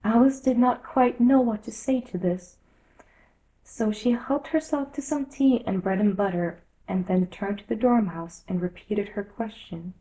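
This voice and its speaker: A woman narrating a children's story from a script in an expressive storytelling style. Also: mid-range voice, a little slow, rough recording.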